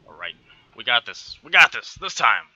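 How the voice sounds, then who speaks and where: A man in his thirties from Texas with a light Texan accent, chatting through gameplay commentary on a headset, full of quick exclamations and talk to himself. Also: medium pitch, big pitch swings, bright, forceful tone, muffled recording, a little hiss or hum. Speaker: gaming channel host.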